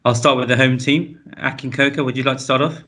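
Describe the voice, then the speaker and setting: A man's voice: medium pitch, steady tone, fast, bright, forceful tone. A man chairing a professional roundtable, inviting each panelist to introduce themselves.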